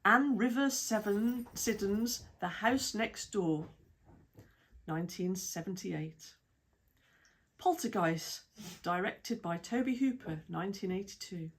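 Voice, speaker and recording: A woman with a British accent reading an article aloud in a measured, even way, with lists of titles and dates. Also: medium pitch, slightly rough. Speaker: reader of an article. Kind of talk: read-aloud essay narration.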